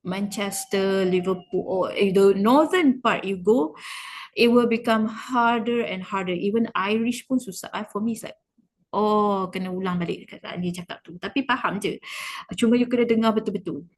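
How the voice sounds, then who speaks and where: A woman's voice: medium pitch, moderate pace. A woman English teacher with a Malaysian accent, explaining informally and encouragingly, switching freely between English and Malay and repeating words for emphasis. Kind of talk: informal online class, explaining.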